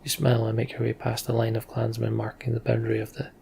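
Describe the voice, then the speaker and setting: A man's voice: medium pitch, monotone, quick pace, gravelly, dark tone, a little hiss or hum. A man narrating a fantasy game's text in storybook style as a let's player.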